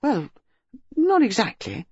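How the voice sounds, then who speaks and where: A man voicing an excited, talkative boy of about nine who stammers and chatters eagerly. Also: extremely high pitch, slow pace, vocal fry, husky, no echo, pitched above the performer's own voice, full character voice. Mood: chatty. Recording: dull, dry lo-fi recording.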